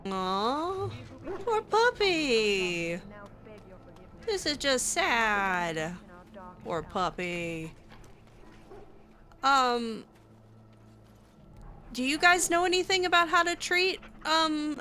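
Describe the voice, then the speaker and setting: A woman's voice: high-pitched, lively intonation, very slow, long pauses, bright, forceful tone. A woman improvising in character during a video game playthrough, chatty and hesitant with a cutesy touch. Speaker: roleplay gamer voicing her character.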